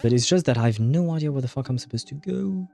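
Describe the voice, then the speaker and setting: A man with a dark, relaxed, mid-pitched voice commentates casually on his own gameplay. He mutters his thoughts aloud and swears freely, with soft game music playing behind him. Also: fast talker, creaky. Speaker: livestream host.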